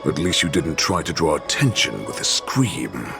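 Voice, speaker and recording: An actor playing a menacing old wolf-beast warlord in a video game, with a growling, theatrical, threatening delivery. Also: male voice, sing-song, moderate pace, full character voice. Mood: calm threat. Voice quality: deep gravelly growl. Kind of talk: video game villain acting. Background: music.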